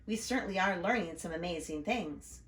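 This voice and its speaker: A woman's voice: lowish voice, animated, moderate pace, reverberant, natural voice. A woman voicing a frank, sensible young schoolgirl in a storybook, speaking in a plain, matter-of-fact way. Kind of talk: storybook read-aloud.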